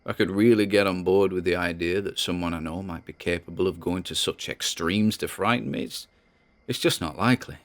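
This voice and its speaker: An actor playing a spooked man who rambles haltingly and nervously as he records private audio logs in an audio drama. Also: low-pitched. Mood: spooked, rambling. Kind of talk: dramatic audio-log monologue.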